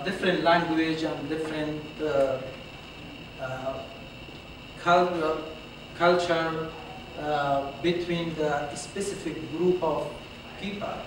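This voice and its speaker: Slow hesitant man speaking in an echoey hall. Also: fairly high pitch. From Afghanistan. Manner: hesitant, frequent "uh" pauses, non-native grammar. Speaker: symposium presenter. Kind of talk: halting slide presentation. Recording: very echoey hall, far from mic, with clear room noise.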